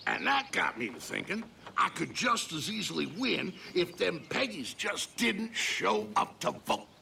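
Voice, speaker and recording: Sly folksy politician, very gravelly, high-pitched, crude, game cutscene, some noise. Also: male voice, bright, forceful tone, exaggerated voice. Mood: scheming. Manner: folksy, crude, sly rambling with heavy hints. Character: folksy, shameless, scheming male politician. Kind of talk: video game cutscene acting.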